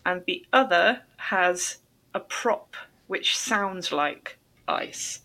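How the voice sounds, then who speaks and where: A woman hosting a language-learning podcast, interviewing a guest in careful, clearly phrased speech. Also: fairly low pitch, animated, very slow, bright, forceful tone, rough recording. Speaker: podcast host.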